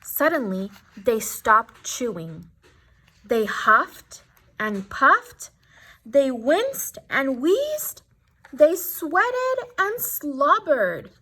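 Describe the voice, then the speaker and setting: High, animated woman reading a storybook. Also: extremely slow. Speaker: storybook reader. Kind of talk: expressive children's story narration.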